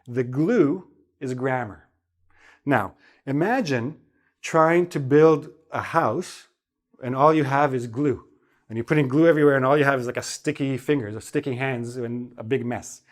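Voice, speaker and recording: Male English teacher, mid pitch, loose conversational delivery with frequent check-ins. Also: moderate pace. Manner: relaxed, chatty, repeats "okay?" to check in. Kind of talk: spoken English lesson.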